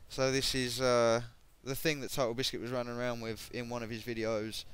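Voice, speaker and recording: A man giving casual, hesitant commentary on game equipment, his voice a bit croaky, with many ums and self-corrections. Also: medium pitch, little pitch movement, fairly quick, a bit bright. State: voice going croaky. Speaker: gaming video creator.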